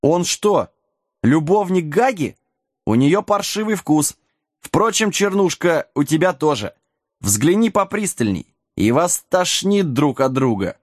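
A man's voice: on the high side, animated, a little slow, no room sound, clean studio sound, voice pushed up. A man playing a cheeky, melodramatic young sorcerer's apprentice in a fantasy audiobook, whiny and mocking. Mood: insolent. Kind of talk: audiobook character acting.

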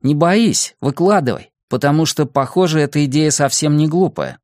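A man's voice: fairly high pitch, moderate pace, a little mellow, no echo, studio quality, pitched above the performer's own voice, lightly acted. A man voicing an encouraging, decisive young boy who leads his friends in an audiobook story.

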